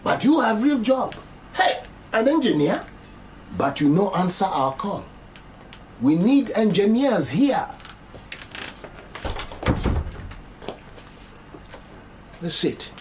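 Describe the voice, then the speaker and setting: An actor playing a wily, menacing Nigerian fixer in a radio drama, speaking theatrically with a Nigerian accent and pidgin turns of phrase. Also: male voice, sits high, sing-song, unhurried, a little hiss or hum, low-quality recording. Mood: jovial, mocking. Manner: pidgin English, theatrical, sly and taunting. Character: wily, menacing Nigerian male fixer who acts as the law.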